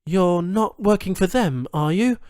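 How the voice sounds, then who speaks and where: A man's voice: high-pitched, lively intonation, measured pace, a little mellow, clear, resonant tone, dry recording, studio quality, voice pushed up. A man voicing a blunt, sardonic captive woman journalist in an audiobook. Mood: wary, urgent. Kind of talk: audiobook character dialogue.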